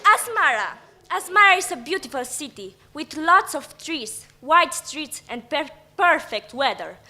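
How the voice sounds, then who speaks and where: A woman's voice: sits high, very animated, a little slow, pushed and bright, slight background noise. A teenage girl from Eritrea reading a prepared piece aloud carefully, stopping to correct herself. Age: teens.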